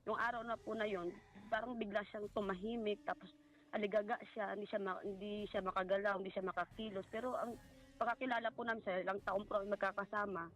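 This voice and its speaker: A woman with a Filipino accent giving a personal account in a halting, polite way, as a guest in conversation. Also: medium pitch, steady tone, poor audio. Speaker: guest recounting a family story. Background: music.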